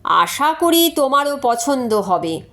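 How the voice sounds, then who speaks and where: A woman's voice: high voice, sing-song, slow pace, fairly bright tone, exaggerated voice. A woman reading aloud as a practical, matter-of-fact uncle writing a letter to his nephew in a story.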